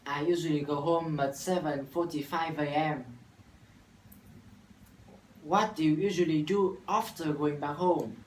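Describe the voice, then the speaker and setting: A nineteen-year-old university student from Vietnam with a Vietnamese accent, reciting an English practice dialogue in a careful, halting way with restarts. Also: male voice, a little high, a little mellow. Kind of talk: scripted classroom dialogue practice. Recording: echoey room from far off, faint noise.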